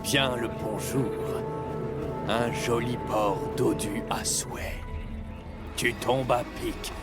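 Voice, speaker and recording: A man playing a sly, menacing wolf in a children's cartoon, gravelly, slow and mocking. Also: medium pitch, fairly even intonation, close to an everyday voice. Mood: gloating, menacing. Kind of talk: French children's cartoon dub. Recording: music under the voice.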